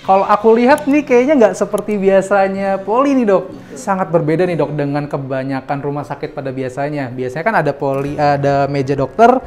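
A man's voice: a little high, a little fast, few pauses. A young man hosting a casual guided tour of a hospital clinic, upbeat and chatty, ending his lines with tag questions. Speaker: host. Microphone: lapel mic. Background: soft background music.

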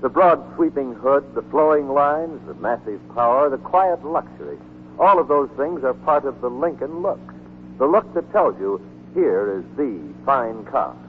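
A male announcer delivers a vintage 1940s radio commercial in a polished, grand manner. His pitch swings widely for emphasis. The broadcast is narrow and tinny, with faint noise. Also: expressive, unhurried. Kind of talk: 1940s radio advertising read.

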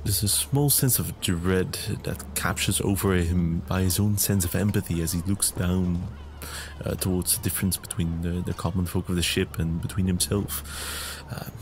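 A man with a low, gravelly voice improvises descriptions of his tabletop character in deliberate, halting phrases. There is clearly audible background ambience. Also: little pitch movement, noisy background. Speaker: tabletop game player.

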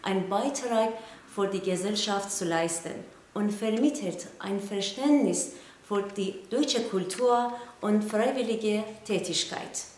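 A woman in her thirties, a project coordinator, giving a measured prepared statement to camera. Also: mid-range voice, darkish tone, echoey room, a little hiss or hum. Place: indoor studio backdrop.

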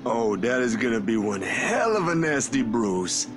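A man voicing a laid-back, earnest young friend in a visual novel, easygoing and expressive. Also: medium pitch, measured pace, music in the background.

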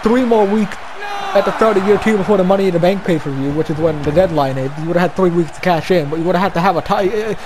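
Excitable young man yelling play-by-play. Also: sits high, fast, noisy background. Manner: shouting, animated, often rising to yells. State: losing his voice. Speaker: commentator. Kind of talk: excited play-by-play of a video game match.

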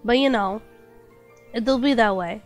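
Stuffy-nosed high-voiced woman streamer. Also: measured pace, soft background music. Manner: bubbly, apologetic, lots of fillers. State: stuffy nose and lingering cough. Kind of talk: casual chat with stream chat.

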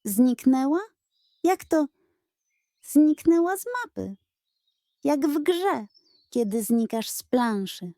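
A woman voicing a curious young schoolboy in a children's story, naive and excitable. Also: sits high, slow pace, pauses often, no echo, clean studio sound, full character voice. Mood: amazed. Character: curious young schoolboy, grumbly then wide-eyed.

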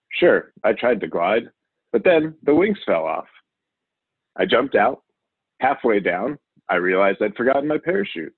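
A man voicing a wild, overconfident male TV survival show host from a children's storybook, excitable and showy. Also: fairly low pitch, breathy edge, no echo, exaggerated voice. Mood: boastful, excited. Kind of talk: children's storybook character reading. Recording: narrow, phone-like audio.

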